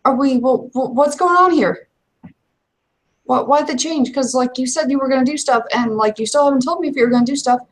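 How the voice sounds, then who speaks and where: A woman playing an awkward, evasive young werewolf in a tabletop roleplay, with halting, slangy, stammering speech. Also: sits high, pitched above the performer's own voice, natural voice. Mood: exasperated, confused.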